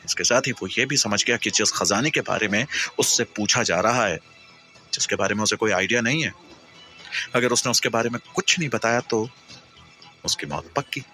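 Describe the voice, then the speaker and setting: A man's voice: lowish voice, moderate pace, pushed and bright, faint music behind. A man narrating a scripted audio story in a dramatic, suspenseful, emphatic way.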